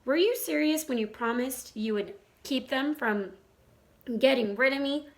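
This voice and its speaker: A woman's voice: high-pitched, a little slow, pitched above the performer's own voice. A woman voicing a young male pig in a storybook, anxious, eager and innocent, with a pleading, questioning way of talking.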